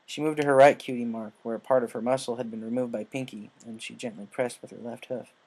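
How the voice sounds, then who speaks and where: A man narrates a written story as an audiobook. His voice sits at a middle pitch. The delivery is scripted reading. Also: fairly even intonation, quick pace, darkish tone, poor audio.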